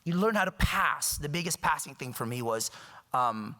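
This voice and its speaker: A man's voice: fairly high pitch, animated, normal speed, bright, forceful tone. A man in his thirties from the Philippines, giving a public talk in a wry, joking and emphatic way. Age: 30s. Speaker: guest speaker. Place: lecture hall. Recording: reverberant lecture hall.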